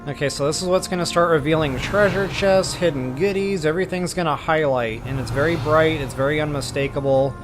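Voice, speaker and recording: A man's voice: a little high, fairly quick, few pauses. A man giving casual live gameplay commentary as a walkthrough host, explaining things in a chatty, informal way. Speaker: game streamer and walkthrough host. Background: game music.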